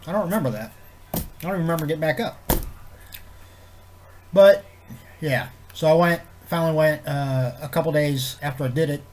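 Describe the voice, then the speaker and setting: A man with a head cold, sounding congested and nasal, chatting to camera in a low-key, meandering way with loose swearing. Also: moderate pace, long pauses, a little mellow, slight background noise. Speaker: vlogger. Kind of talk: rambling casual chat to camera.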